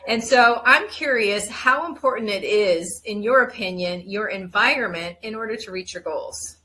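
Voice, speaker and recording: A woman's voice: medium pitch, moderate pace, bright, forceful tone, rough recording. A woman hosting an interview show, telling a rambling story and then giving an enthusiastic introduction of her guest. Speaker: host.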